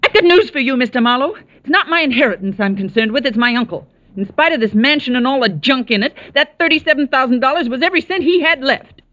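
An actress playing an earnest, proud and spirited niece in an old-time radio drama, with an emphatic, proper way of talking. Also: female voice, sits low, animated, fairly quick, darkish tone. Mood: indignant. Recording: muffled vintage radio broadcast recording.

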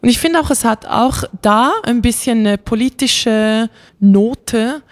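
A woman's voice: on the high side, takes it slowly. A woman talking casually and reflectively as a guest, enthusiastic and searching for her words. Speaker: interview guest, classical singer.

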